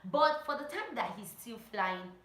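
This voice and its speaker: A woman giving casual, animated instructional vlog talk, telling anecdotes and repeating phrases for emphasis. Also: medium pitch, unhurried. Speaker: vlogger and pilot sharing training tips. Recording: roomy echo.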